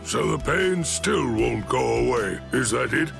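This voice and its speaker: A deeply creaking, gravelly old commander speaks with formal, measured authority. He is stern yet kindly, an aged head captain from an anime video game. His voice sits at an ordinary male pitch, with prominent music playing behind it. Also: expressive. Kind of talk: scripted anime game cutscene dialogue.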